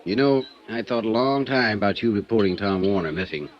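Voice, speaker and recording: Casting: a man as a drawling country constable, low voice, dull old radio sound. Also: lively intonation, a little fast, close to an everyday voice. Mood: folksy. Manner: drawling, folksy. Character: folksy small-town male police constable. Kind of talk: 1950s radio drama acting.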